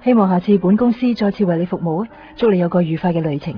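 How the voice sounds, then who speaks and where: A polite, professional female flight attendant , played by an actress, speaks quickly. The radio drama sound is muffled, with a faint bed of music. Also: fairly low pitch, lightly acted.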